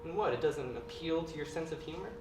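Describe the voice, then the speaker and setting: A woman's voice: low voice, fast talker, natural voice. Woman playing a sneering, arrogant teenage boy. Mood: angry. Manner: sneering, flares into shouting. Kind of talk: stage play acting. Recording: roomy stage recording from a distance, faint hiss.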